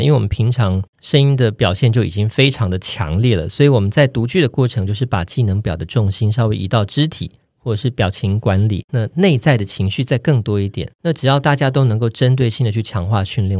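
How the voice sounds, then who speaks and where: A man's voice: on the low side, a little mellow, no echo. Taiwanese man explaining things, dry close sound. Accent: Taiwanese Mandarin. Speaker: voice actor and voice director, podcast guest. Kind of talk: explanatory interview talk.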